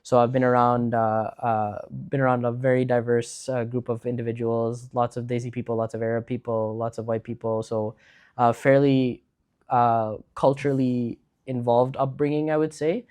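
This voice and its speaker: A man's voice: medium pitch, moderate pace. A man, chatting easily as a podcast guest and filling his speech with likes and restarts. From Canada. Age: 20s. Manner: relaxed, filler-heavy, with frequent likes and restarts. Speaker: podcast guest, food blogger. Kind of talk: casual conversational interview.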